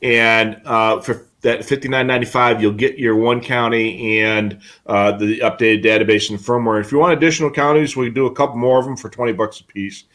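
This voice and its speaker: A man's voice: medium pitch, fairly even intonation, a little fast, few pauses, a bit bright. A man co-hosting a live hobby show, explaining products in a casual, conversational way. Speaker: co-host of a live radio scanner show.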